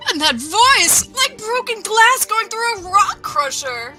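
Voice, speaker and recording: A woman's voice: extremely high pitch, big pitch swings, slow, pushed and bright, faint music behind, pitched above the performer's own voice, full character voice. A woman playing a skeptical assistant to a mad scientist in a comic book table read, questioning and doubtful. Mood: disgusted.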